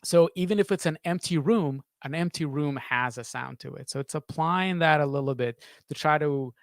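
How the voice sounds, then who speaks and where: A man in his thirties giving thoughtful interview answers as a sound designer, halting and repeating phrases as he searches for words, close on a studio mic in a small home studio. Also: a little high, no echo. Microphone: studio microphone on a boom arm, close.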